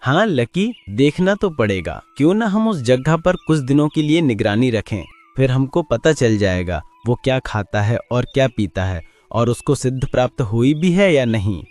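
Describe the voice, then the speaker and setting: Cartoon animal disciple, played by a man, mid-pitched male voice, earnest, deferential, plain-spoken. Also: sing-song, moderate pace, hardly any pauses, darkish tone, close to an everyday voice. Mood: pondering. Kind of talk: Hindi cartoon story acting.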